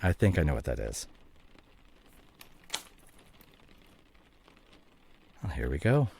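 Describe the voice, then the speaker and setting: Estranged husband played by a male actor, audio drama: deep, husky, emotional and wounded, very wide-swinging delivery. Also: sing-song, over music, full character voice. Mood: defeated, resentful. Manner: emotional, halting, swinging from muted to heated. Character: estranged husband and father, guilt-ridden, defensive, wounded. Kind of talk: dramatic audio roleplay, one side of a conversation.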